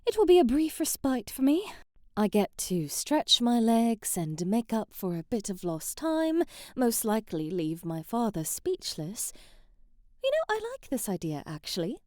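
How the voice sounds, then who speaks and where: A woman's voice: on the high side, very animated, unhurried, a little mellow, dry recording, clean studio sound. A woman playing a haughty, sheltered young princess in a scripted ASMR roleplay, prim and bratty, with formal, old-fashioned wording and an easily flustered temper. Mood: grudging, haughty.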